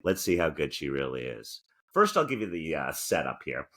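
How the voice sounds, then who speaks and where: A man's voice: extremely low pitch, very animated, moderate pace, pushed and bright, slightly rough. A man co-hosting a talk show with casual comedic banter and theatrical, mock-indignant asides. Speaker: podcast co-host. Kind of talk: casual comedic banter, reading aloud from articles.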